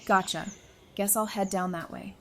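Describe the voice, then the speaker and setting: Casting: actress as practical peacemaker in audio drama; mid-range voice, conversational, clear birdsong and ambience behind her. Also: medium pitch, steady tone, normal speed, fairly bright tone, slight background noise, lightly acted. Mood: casual. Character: friendly, level-headed female survivor, peacemaker.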